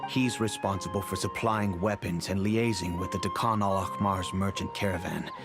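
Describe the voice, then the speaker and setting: A man's voice: sits low, fairly even intonation, normal speed. A man voicing a middle-aged, remorseful merchant father in a video game, earnest and solemn. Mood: earnest, helpful. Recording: game music behind the voice.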